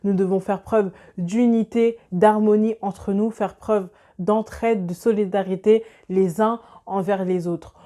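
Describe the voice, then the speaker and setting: A woman with a soft-edged, mellow voice presents an explanatory video. She speaks in a measured, instructive manner at a medium pitch, addressing the viewer directly. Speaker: lifestyle video host.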